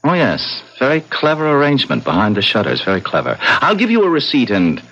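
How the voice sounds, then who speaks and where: An actor playing a smooth, sly Interpol agent in a 1970s radio drama, suave and briskly polite. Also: male voice, medium pitch, wildly swooping pitch, fairly bright tone. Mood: suave, pleased. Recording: dull 1970s radio broadcast recording.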